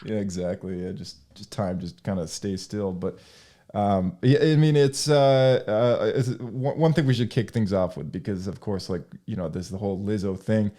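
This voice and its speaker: Lower-voiced man hosting a podcast. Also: steady tone, moderate pace, dark tone. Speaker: podcast host. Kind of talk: casual podcast chat.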